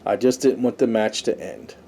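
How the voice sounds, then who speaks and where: A man's voice: fairly low pitch, normal speed, vocal fry, dark tone, slight background noise. A man hosting a review show, casually reading out lists and commenting on them in an informal way. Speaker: host of a wrestling review show.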